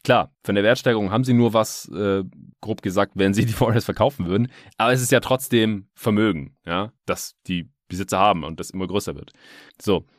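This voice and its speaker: A man's voice: fairly low pitch, a little fast, no echo, studio quality. A man hosting a basketball podcast in casual talk, explaining things colloquially with English sports jargon mixed in.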